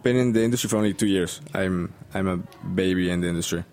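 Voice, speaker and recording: A man's voice: low-pitched, fast, darkish tone, soft background music. A young man from Colombia with a Colombian Spanish accent, a bartender guest chatting hesitantly with many ums. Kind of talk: casual interview chat.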